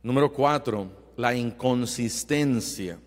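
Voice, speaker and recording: A male pastor preaching earnestly, with emphatic rising bursts and quiet asides. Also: medium pitch, slow pace. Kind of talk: sermon. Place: church sanctuary. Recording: long hall reverb.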